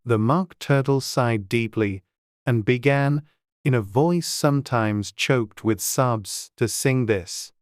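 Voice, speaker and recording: A man's voice: mid-range voice, slow, no room sound. A man reading scripted storybook narration as an audiobook narrator.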